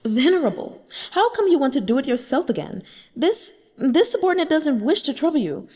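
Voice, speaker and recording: A woman's voice: high voice, sing-song, fairly quick, a little mellow, big-room sound, pitched above the performer's own voice. A woman voicing a scheming young woman cultivator who talks in a flustered, pleading way.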